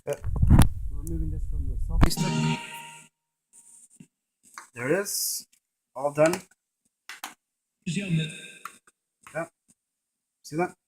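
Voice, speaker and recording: A man's voice: a little high, very slow, long pauses, very noisy. A man presenting a casual hands-on gadget demonstration, narrating each step in short fragments.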